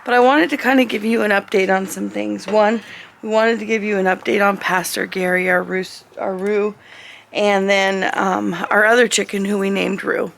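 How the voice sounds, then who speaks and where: A woman homesteader chatting casually in a vlog outdoors on a cold farm, rambling, her voice a little hoarse. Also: medium pitch, steady tone, moderate pace, a bit bright, slight background noise. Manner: chatty, rambling, talks to animals. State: slightly hoarse.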